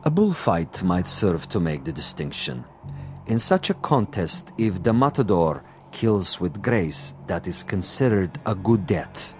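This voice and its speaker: A suave commissar who kills as an art. His low voice swoops expressively through every line, mock-courteous and quietly menacing. He savors his words theatrically, with music underneath the drama. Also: sing-song, a little slow. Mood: coolly menacing. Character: suave, cultured, menacing male commissar, an assassin. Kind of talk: dramatic TV-style dialogue acting.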